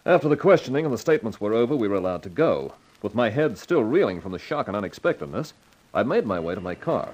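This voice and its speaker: An actor playing a wisecracking, hard-boiled advertising man who narrates his own story in 1950s radio noir style, with a husky voice. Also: lowish voice, big pitch swings, fast, a little mellow, lo-fi sound. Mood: grim narration.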